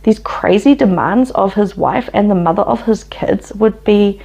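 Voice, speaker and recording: A woman's voice: mid-range voice, unhurried, vocal fry, dark tone. A woman with a New Zealand accent, hosting her history channel and telling the story in an opinionated, chatty way with frequent laughs.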